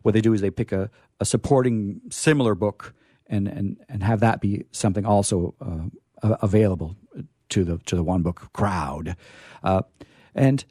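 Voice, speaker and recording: A man hosting a casual live discussion, with a hesitant, halting delivery. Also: fairly low pitch, mellow, no room sound, clean studio sound. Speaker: host.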